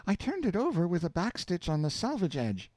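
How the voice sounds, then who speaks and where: Male reader playing a high-voiced, exclamatory housewife shouting her half of a phone call for a storybook reading; bouncy inflection. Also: huge pitch swings, fairly quick, no room sound, exaggerated voice. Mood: exclaiming. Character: chattering housewife on the telephone.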